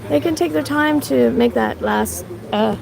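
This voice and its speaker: A woman's voice: sits high, a little slow, vocal fry. Chatty woman livestreaming on a city street. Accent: mixed Canadian and Irish. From Canada. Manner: chatty, thanks viewers, rambling with fillers. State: shivering from cold. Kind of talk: casual live stream commentary. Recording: outdoor street recording with crowd.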